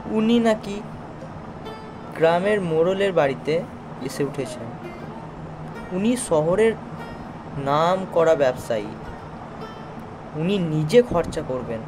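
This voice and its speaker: A man's voice: sits high, animated, takes it slowly, pauses often, low-quality recording. A man voicing a kind, simple village snack seller and family man in a children's cartoon, earnest and reassuring, over music. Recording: music behind the voice.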